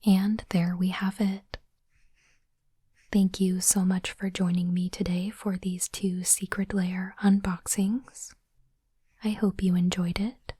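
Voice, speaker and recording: A woman doing ASMR in a soft, breathy whisper, speaking in a hushed, deliberate way as she hosts an unboxing. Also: mid-range voice, no echo, clean studio sound. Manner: slow, deliberate, hushed delivery. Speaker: host of ASMR card unboxing channel. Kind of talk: ASMR whispering, close and gentle narration.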